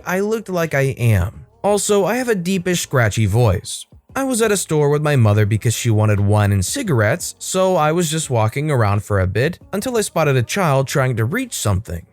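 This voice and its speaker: A man's voice: fairly high pitch, sing-song, moderate pace, hardly any pauses, no room sound. A man narrating reddit stories in a wry, sarcastic storytelling manner. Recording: dry close studio sound, faint music.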